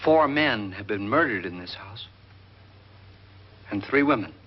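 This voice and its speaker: An actor playing a haunted, morbid believer in murderous ghosts in a 1950s horror film, speaking in a grave, brooding, ominous way. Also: male voice, on the low side, animated, measured pace. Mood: ominous, fearful. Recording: dull old film soundtrack, music behind.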